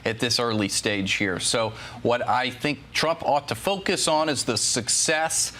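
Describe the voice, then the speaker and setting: A man's voice: medium pitch, unhurried, pushed and bright. An American man speaking as a national security analyst, giving unscripted commentary as a news guest.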